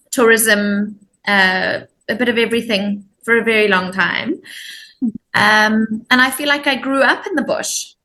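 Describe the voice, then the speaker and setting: A woman's voice: on the high side, bright, forceful tone, poor audio. A woman from Zimbabwe, explaining her work as a volunteer program coordinator in an interview. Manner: friendly, informative, with many ums.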